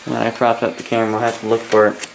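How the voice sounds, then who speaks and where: A Canadian man talks through a casual hobbyist review in a flat, mid-pitched voice. He rambles and grumbles in asides, with mild swearing. There is faint noise behind him. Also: fast talker, vocal fry, low-quality recording.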